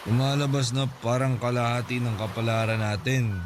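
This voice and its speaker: A man playing a respectful, concerned young nephew, speaking casually and gently in a Filipino radio drama. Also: medium pitch, flat delivery, moderate pace, over music, close to an everyday voice. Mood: curious, sympathetic. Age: young adult. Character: respectful young nephew, concerned drinking companion of his uncle.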